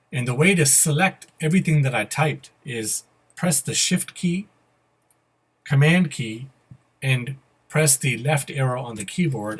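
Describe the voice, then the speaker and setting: A man presents a software screencast in a casual, methodical manner. His voice is a little husky and sits at a medium pitch. He spells out each step as he goes. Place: voice-over to a computer screen recording.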